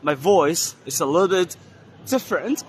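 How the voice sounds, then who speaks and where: A hoarse man walking through an indoor trade fair hall, giving casual commentary on the stands with many fillers and pauses. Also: a little high, expressive, a little hiss or hum. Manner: unhurried, halting, many fillers. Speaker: vlogger touring a trade fair.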